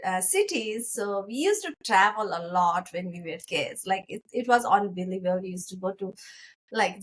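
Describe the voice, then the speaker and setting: This is a middle-aged woman with a Nepali accent. Her voice sits at an average pitch for a woman. She talks in a casual, conversational way, as a physician answering an interviewer's questions. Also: a bit bright. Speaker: guest, physician.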